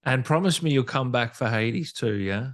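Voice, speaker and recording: A man's voice: medium pitch, lively intonation, normal speed. A man hosting an interview in a casual, enthusiastic and appreciative chatting style. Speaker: podcast interview host.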